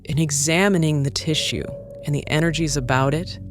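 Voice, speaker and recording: A man acts a kindly nurse in an audio drama, somewhat high in pitch. The wording is formal and clinical, with some music underneath. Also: normal speed, close to an everyday voice. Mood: solemn, gentle. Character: kind, formal birthing-chamber nurse.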